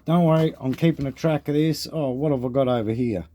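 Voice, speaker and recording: A man with an Australian accent and a deep voice, showing his coin collection in a laid-back, swearing, chatty way. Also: a little fast, dark tone, a little hiss or hum. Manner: laid-back, swears casually, calls viewers mate. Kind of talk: casual show-and-tell chat. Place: indoors at a table.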